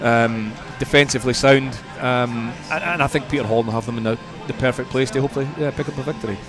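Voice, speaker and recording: A man giving conversational sports punditry as a radio guest, measured and repeating words for emphasis. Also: mid-range voice, fairly even intonation, music in the background.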